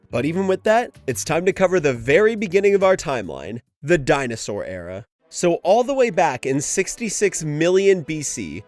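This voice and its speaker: A man narrating a scripted fan lore video, with informal, wry, self-questioning asides. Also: a little high. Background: music.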